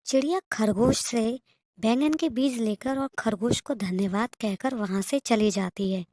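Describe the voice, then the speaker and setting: A woman's voice: a little high, a little slow, darkish tone, no echo. A woman narrating a children's moral story in a scripted storytelling style.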